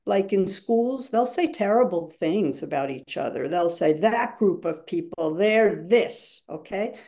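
A woman's voice: fairly low pitch, expressive, unhurried, dark tone. A woman speaking as a guest facilitator in a webinar, explaining emphatically and acting out examples as she goes.

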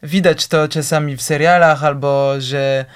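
A man, a guest musician in a casual interview, who speaks haltingly and leans on lots of fillers. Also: on the high side, steady tone, smooth. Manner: hesitant, halting, heavy use of fillers.

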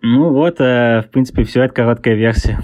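A man in his thirties with an English-tinged Russian accent, a guest author answering interview questions in a halting way, often pausing to search for words. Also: medium pitch, a little mellow, no room sound, studio quality. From born in Russia, raised in England. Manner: hesitant, searches for words, many fillers.

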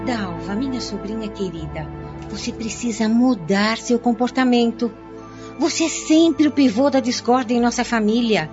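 Uma atriz brasileira de radionovela interpretando uma tia mais velha e devota, que repreende com delicadeza, em tom de súplica. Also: female voice, fairly high pitch, normal speed, music in the background, close to an everyday voice. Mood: reproachful. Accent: Brazilian. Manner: pleading, moralizing. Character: pious older aunt, gently reproachful peacemaker. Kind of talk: radio soap acting. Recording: dull, lo-fi radio drama recording.

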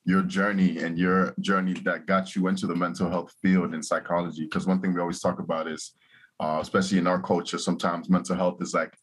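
A man's voice: low-pitched, flat delivery, brisk, slightly rough. A man hosting a podcast, introducing the show in a laid-back conversational way. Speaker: podcast host. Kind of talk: casual interview chat.

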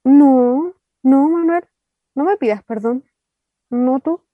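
A woman's voice: high voice, slow pace, dry recording, narrow, tinny audio, soft background music. An actress with a Chilean accent playing a tender young woman haunted by family grief, earnest and emotive in radio drama dialogue. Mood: pained.

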